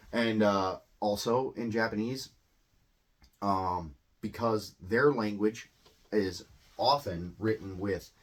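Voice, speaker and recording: Low-voiced man rambling through a lesson. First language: English. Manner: rambling, filler-heavy, self-correcting. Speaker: English teacher. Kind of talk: casual explainer monologue.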